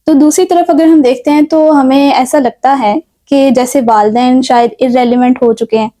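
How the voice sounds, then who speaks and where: A woman's voice: high-pitched, steady tone, dark tone. A woman with a Pakistani accent hosting a formal talk show interview in a polite, deferential manner.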